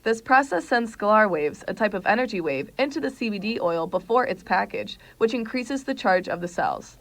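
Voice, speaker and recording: A woman's voice: mid-range voice, lively intonation, moderate pace. A young woman working as a student TV reporter, reading a scripted news report. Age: young adult.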